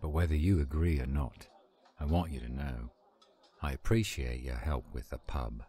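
Very deep-voiced earnest werewolf pack leader played by a man. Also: moderate pace, mellow. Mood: friendly, grateful. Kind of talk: roleplay audio drama acting.

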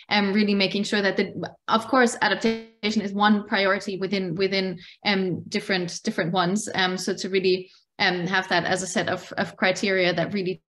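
A young woman, a scientist on an online panel, answering unscripted in a halting way with repeated words. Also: medium pitch, a bit bright. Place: indoors, on a video call. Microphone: video call microphone.

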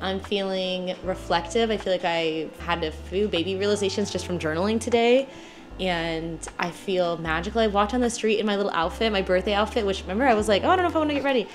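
A woman in her late twenties talks straight to her viewers from home, casual and reflective, sprinkling in plenty of "like"s. Her voice sits at a middling pitch, and faint music plays underneath. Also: fast. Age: 20s. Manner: chatty, reflective, many "like" fillers. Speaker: vlogger. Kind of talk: casual reflective vlog talk. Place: at home indoors.

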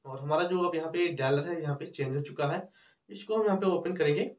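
A man with a fairly high voice presents a step-by-step tutorial, going over points repeatedly. He sounds set back from the microphone in a very echoey room. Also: brisk. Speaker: tech tutorial host. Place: small indoor room with cloth backdrop. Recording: roomy, distant, dull microphone sound.

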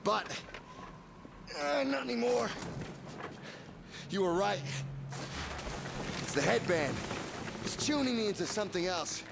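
An actor voicing a gruff, irritable, muscular loner in a video game, speaking tersely over loud noise. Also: male voice, sits high, animated, measured pace, long pauses, heavily acted. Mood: troubled. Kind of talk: video game cutscene acting.

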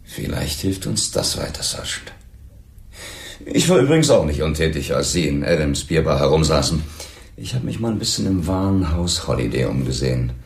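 An actor playing a dry, probing police lieutenant in a crime radio play, with measured, ironic questioning. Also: male voice, very animated, echoey room, heavily acted. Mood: dry, musing. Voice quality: very deep, gravelly.

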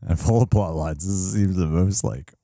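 A man's voice: sits low, normal speed, warm tone, no echo, studio quality. A man in his thirties musing thoughtfully as a co-host of a reaction show, talking into a headset in a small room. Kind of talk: casual reaction chat. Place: small streaming room indoors.

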